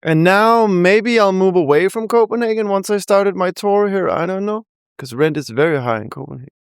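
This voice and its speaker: A woman's voice: mid-range voice, lively intonation, brisk, clear, resonant tone, dry recording, studio quality. A young adult woman with a Danish accent, a rapper guest telling her story in a chatty, rambling way with frequent laughs. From Denmark. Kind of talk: casual interview chat.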